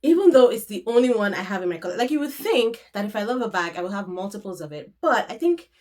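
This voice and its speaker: A woman's voice: medium pitch, sing-song, fast, darkish tone. A woman in her thirties, sniffly with a cold, chatting casually to the camera about her weekend and bags. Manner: chatty, gesturing with her hands. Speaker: vlogger. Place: room in front of a handbag shelf. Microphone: camera in front.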